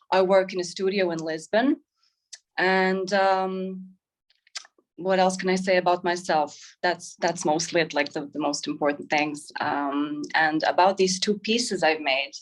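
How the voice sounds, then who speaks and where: A woman, explaining herself reflectively as an artist in an informal interview. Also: medium pitch. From Croatia. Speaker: interview guest, painter. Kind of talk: informal interview, describing own work.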